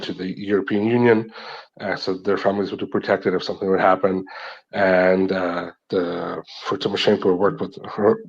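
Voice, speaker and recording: A low-voiced man from Ukraine who speaks English with a North American lilt, talking in a flat, level tone. He rambles through a long account with restarts and fillers. The sound is dull and lo-fi, like remote call audio. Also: husky. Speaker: guest speaker. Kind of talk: casual spoken account in a live audio chat.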